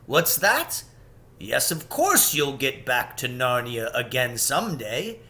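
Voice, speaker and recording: A man's voice: very animated, slow, sharp tone, heavily acted. A man voicing a kindly, eccentric older professor from a storybook, musing and emphatic, given to questioning himself. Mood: knowing, advising. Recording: background noise with low rumble.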